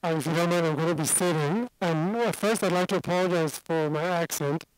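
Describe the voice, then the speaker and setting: A man's voice: a little high, a little fast, low-quality recording. Man with a mixed international accent. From Singapore. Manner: conversational, explaining and qualifying. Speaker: addiction expert speaker. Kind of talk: seated lecture to an audience.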